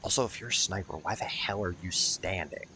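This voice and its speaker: A man's voice: low voice, fairly quick. A man giving casual commentary as he plays a video game, grumbling sardonically and swearing freely. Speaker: gaming video host. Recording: noticeable background noise.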